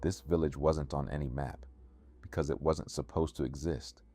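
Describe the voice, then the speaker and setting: A man narrating a scripted first-person horror story in a measured, ominous way, with music behind him. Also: extremely low pitch, steady tone, mellow. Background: music bed.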